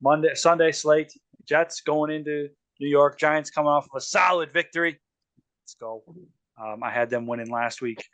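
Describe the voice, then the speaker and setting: A man's voice: a little high, fairly even intonation, normal speed, fairly bright tone, no echo. A man hosting a casual sports podcast, talking loosely with lots of ums and restarts. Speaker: podcast host.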